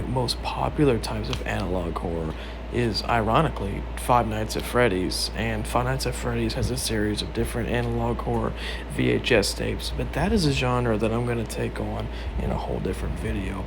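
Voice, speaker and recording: A man with a creaky voice giving earnest, emphatic video essay commentary on horror. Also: medium pitch, noisy background. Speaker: video creator and host.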